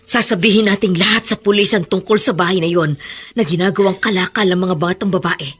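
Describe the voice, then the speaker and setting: A woman's voice: mid-range voice, fairly even intonation, a little fast, a bit bright, husky. An actress playing a righteous, unyielding middle-aged widowed mother in a radio drama, firm and declamatory. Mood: resolute.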